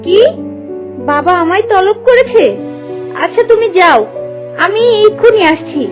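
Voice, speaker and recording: A sweet, innocent young cartoon princess, voiced by a woman, girlish and compliant. Her voice is very high and animated, with music behind it. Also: a little slow. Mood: obedient, sweet. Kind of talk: cartoon fairy-tale voice acting.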